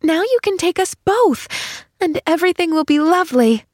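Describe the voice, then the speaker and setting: A woman voicing a bright, innocent young girl who gushes with eager joy in an audiobook. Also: very high-pitched, animated, normal speed, no room sound, clean studio sound, voice pushed up. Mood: overjoyed. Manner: gushing, breathless enthusiasm. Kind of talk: audiobook character acting.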